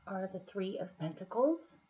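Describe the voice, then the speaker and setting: A woman with a slightly hoarse, scratchy throat, giving a casual tarot reading in an unhurried, deliberate manner with pauses as she explains the cards. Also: mid-range voice, big pitch swings. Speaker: tarot reader. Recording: dull, muffled lo-fi audio.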